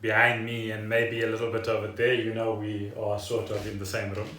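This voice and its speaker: A man's voice: on the low side, monotone, a little fast, sharp tone, slight background noise. A man chatting casually as a podcast co-host, explaining things in a rambling, storytelling way in a living room. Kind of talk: casual chat. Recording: roomy echo, distant from the mic.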